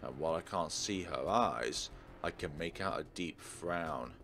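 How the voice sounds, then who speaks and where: A man's voice: a bass voice, measured pace, fairly bright tone, a little hiss or hum. A man hosting a let's play, reading a visual novel aloud dramatically with casual commentary. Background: game music.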